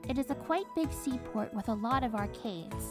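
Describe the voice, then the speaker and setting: Woman as a high-voiced reserved schoolgirl. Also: lively intonation, moderate pace, lightly acted. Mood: reserved, explaining. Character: reserved young schoolgirl, new transfer student. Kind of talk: visual novel voice acting. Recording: clear music behind.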